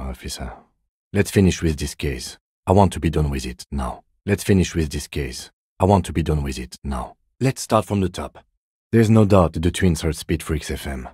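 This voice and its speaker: Middle-aged male police lieutenant, video game dialogue, very low pitch, dark mellow tone, measured, deadpan, restrained. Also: breathy edge, no echo, clean studio sound, heavily acted. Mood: cold, offended.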